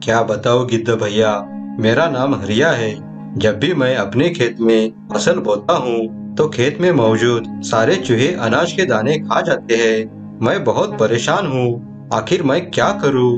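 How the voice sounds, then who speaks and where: A man's voice: mid-range voice, a little slow, close to an everyday voice. Guy doing a poor farmer for a cartoon, whiny lamenting, music under it. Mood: despairing. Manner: plaintive, lamenting. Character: poor distressed male farmer troubled by rats.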